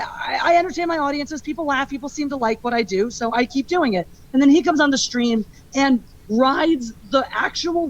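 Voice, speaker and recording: Petty rambling woman streamer, muffled clip with light hiss. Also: sits high. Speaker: streamer and stand-up comic. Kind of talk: clip of a livestream rant. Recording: muffled clip with faint noise.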